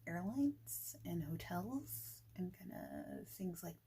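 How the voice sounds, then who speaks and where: A woman speaking softly and breathily, often near a whisper, in an informal, reassuring ASMR boss roleplay. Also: lowish voice, expressive, slow pace, noisy background. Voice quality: soft, breathy, often dropping toward a whisper. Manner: informal, reassuring, rambling chat. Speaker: ASMR creator playing a friendly boss in a job interview roleplay.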